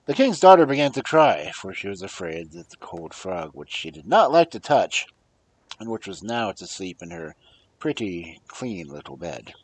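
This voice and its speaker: A man reading fairy tales aloud as a storyteller, with a husky voice and a dramatic delivery that moves between hushed and emphatic. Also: sits low, sing-song, normal speed, rough recording. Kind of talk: expressive fairy-tale narration, read aloud.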